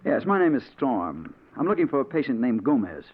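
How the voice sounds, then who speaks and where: A man's voice: mid-range voice, big pitch swings, a little fast, husky. An actor playing a tough, dogged newspaperman in a 1940s radio crime thriller, both narrating and talking. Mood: brisk, pressing. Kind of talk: 1940s radio acting, first-person narration and dialogue. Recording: muffled, noisy 1940s radio recording.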